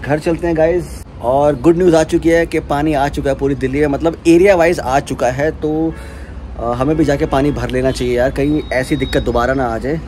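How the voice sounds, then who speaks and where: A man's voice: fairly high pitch, fairly even intonation, normal speed, a little mellow, slight background noise. A young man vlogging in a casual, chatty way, talking straight to his viewers, with a sore throat that leaves him a little unwell. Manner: chatty, addresses viewers directly, mixes English words in. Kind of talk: casual vlog chat to viewers. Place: home and hotel, indoors.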